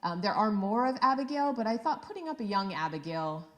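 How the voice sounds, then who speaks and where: A middle-aged woman, a high school history teacher, giving an enthusiastic guest talk to an audience with a big projecting voice, speaking into a handheld microphone. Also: brisk. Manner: energetic, enthusiastic, teacherly with many fillers. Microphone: handheld microphone held low.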